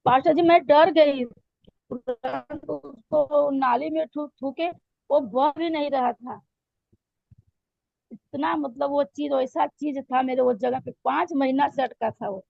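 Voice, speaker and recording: A hoarse woman giving a halting spoken testimony to her pastor, with many fillers and restarts. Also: sits high, fairly even intonation, no echo, muffled recording. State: hoarse throat. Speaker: church member giving testimony.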